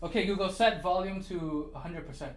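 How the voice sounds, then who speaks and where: A man's voice: sits high, fairly quick. A man whose first language is not English, chatting casually on a livestream, ranting animatedly and swearing freely.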